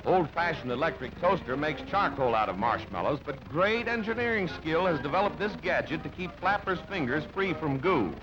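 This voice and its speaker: A man with a fairly high, swooping voice reads newsreel copy. His delivery is jaunty and theatrical, in announcer style. The soundtrack is dull and band-limited, with music playing behind him. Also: very animated, normal speed, few pauses. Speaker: newsreel narrator. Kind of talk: scripted newsreel narration. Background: background music.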